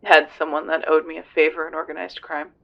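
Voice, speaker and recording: A man's voice: a little high, level tone, quick pace, vocal fry. A man playing a tough, serious police investigator on a phone call in a roleplay audio drama, terse and grave. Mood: serious, informative. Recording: dull lo-fi phone-call sound.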